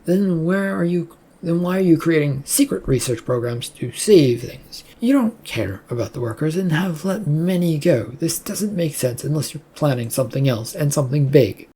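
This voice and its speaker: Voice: medium pitch, animated, normal speed, dark tone, breathy edge. A reader voicing a defiant male researcher in an audiobook story, blunt, probing and flippant. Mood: confrontational. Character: defiant, smirking male researcher challenging his boss.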